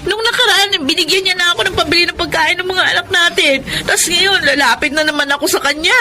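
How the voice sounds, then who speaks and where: A woman's voice: extremely high pitch, fast talker. An actress playing a struggling young wife and mother in a radio drama, pleading with and then standing up to her drunk husband. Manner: pleading, defiant.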